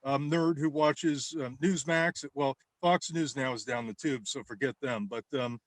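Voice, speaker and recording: A man's voice: on the high side, no room sound. A man talking in a casual podcast chat about his work as a campaign caller. He rambles, restarts often and fills gaps with "um" and "you know". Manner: rambling, with many restarts and fillers; acts out the people he talks to. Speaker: guest, political campaign caller.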